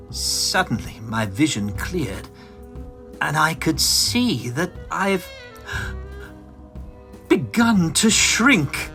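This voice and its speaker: An embittered little mad scientist in a melodramatic audio drama. The voice is fairly high and sharp-edged, halting and pleading as it tells the tale, with faint music underneath. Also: male voice, heavily acted. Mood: haunted recounting. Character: small, bitter, frantic mad scientist, male professor.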